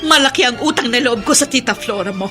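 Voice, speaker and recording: A woman's voice: fairly high pitch, animated, fast, pushed and bright, soft background music. A woman acting an anguished, pleading mother in an emphatic, melodramatic radio drama. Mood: anguished confession. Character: anguished mother confessing a long-hidden family secret.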